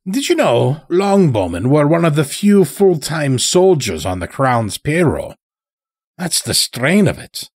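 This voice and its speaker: A man's voice: on the high side, sing-song, a little slow, husky, no echo, voice pushed up, exaggerated voice. A man voicing a folksy, taunting old elder in an audio story, chatty and needling with rustic phrasing. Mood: musing, needling. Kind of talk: dramatic audio story character.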